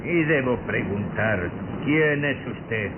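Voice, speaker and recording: Slow, sinister hunchbacked servant. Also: male voice, mid-range voice, lively intonation. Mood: cold, forbidding. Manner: slow, halting, menacing delivery. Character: sinister hunchbacked castle servant with a hook hand. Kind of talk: radio drama acting. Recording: noisy, tinny old radio drama recording.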